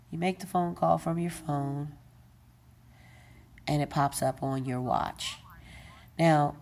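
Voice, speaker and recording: A woman's voice: sits low, darkish tone. A woman giving an unscripted how-to demonstration of a gadget, talking casually with many fillers and self-corrections. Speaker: tech video presenter.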